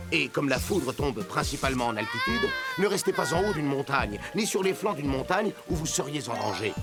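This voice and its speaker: Heroic rescue robot in a kids cartoon, earnest and protective, highish voice w lots of swing, music behind. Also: male voice. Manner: earnest, clearly explaining safety rules to children. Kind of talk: French-dubbed preschool cartoon acting.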